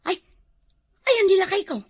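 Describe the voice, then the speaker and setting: A woman's voice: very high-pitched, very animated, normal speed, darkish tone, no echo, clean studio sound. A woman acting a suspicious, hot-headed village wife in a comedy drama, with an Ilocano accent.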